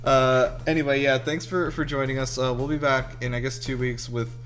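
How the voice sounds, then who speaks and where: A man's voice: mid-range voice, little pitch movement, normal speed, fairly bright tone. A man hosting a casual group movie review chat in a sarcastic, sweary way. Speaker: host of a movie reaction show.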